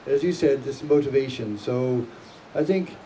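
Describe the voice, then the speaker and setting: A man moderating a panel discussion in an upbeat, chatty way, jumping in with quick remarks. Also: mid-range voice, mellow, far from the mic, a little hiss or hum, low-quality recording. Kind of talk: casual panel hosting.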